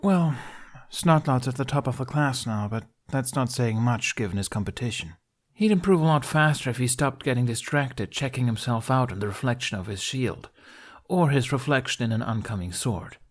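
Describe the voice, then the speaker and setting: A man's voice: mid-range voice, expressive, moderate pace, pitched above the performer's own voice, natural voice. A man voicing a tough, exasperated young warrior woman in a fantasy audio story, blunt and teasing.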